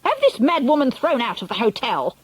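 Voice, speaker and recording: A woman voicing a haughty, shrill, easily horrified wife in an audiobook story. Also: wildly swooping pitch, fairly quick, breathy edge, no room sound, full character voice. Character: prim, easily horrified, snappish wife and mother.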